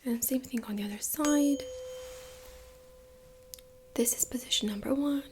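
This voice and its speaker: A woman speaking in a breathy whisper, gently and reassuringly, as a doctor in an ASMR medical exam role-play. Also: high-pitched, background noise. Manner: gentle, reassuring, unhurried clinical explaining.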